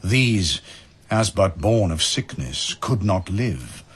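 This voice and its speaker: A man narrating verse as a dramatic storyteller, in a measured, solemn, literary manner. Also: deep voice, sing-song, slightly rough.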